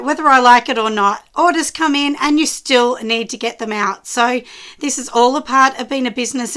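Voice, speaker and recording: A woman who makes soap, chatting with viewers as she packs orders in her small studio, husky and hoarse from a cold. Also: a little high, pushed and bright. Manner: chatty, talks straight to the viewers. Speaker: soap maker running a small business. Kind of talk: casual chat while packing orders. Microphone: camera set low on a surface.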